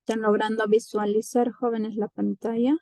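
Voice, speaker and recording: A woman's voice: a little high, level tone, normal speed, warm tone, no room sound, studio quality. A woman from Peru teaching an online class, talking in a loose, casual way and tagging "¿no?" onto her sentences. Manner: casual, repeats "¿no?" often. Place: home, remote video class. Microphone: computer mic on video call.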